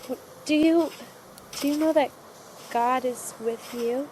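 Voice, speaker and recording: Woman voicing a high-pitched, anxious young girl. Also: takes it slowly, darkish tone. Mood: curious, wondering. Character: curious, anxious young girl fleeing through the desert. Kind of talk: cinematic audio drama acting. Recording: clear background ambience noise.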